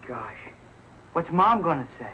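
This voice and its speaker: A man's voice: fairly high pitch, big pitch swings, unhurried. A male actor playing an earnest, loyal young son in a 1940s television comedy, eager and easily dismayed. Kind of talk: 1940s television sitcom acting. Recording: muffled, noisy old 1940s TV soundtrack.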